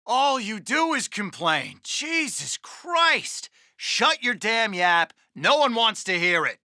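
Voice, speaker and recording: A man's voice: high-pitched, sing-song, slow, sharp tone, dry recording, studio quality, full character voice. A man playing an irritable GI in a war game, snappish and complaining. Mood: irritated. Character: griping, irritable American GI, male. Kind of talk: video game unit voice lines.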